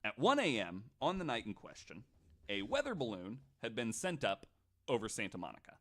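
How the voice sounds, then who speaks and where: A man hosting a casual podcast, retelling stories to his guests in an animated, swearing way. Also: medium pitch, fairly quick. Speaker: podcast host.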